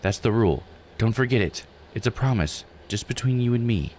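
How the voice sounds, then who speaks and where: Man voicing a guarded mermaid, pitched up. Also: animated, fairly quick, a little mellow, slight background noise, natural voice. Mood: earnest. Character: guarded, secretive female mermaid reading out her terms. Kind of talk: reading game dialogue aloud.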